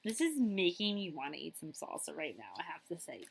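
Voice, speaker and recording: A woman's voice: low voice, sing-song, a little fast, creaky, slight background noise. A woman school librarian reading a children's picture book aloud expressively, with chatty asides to her listeners.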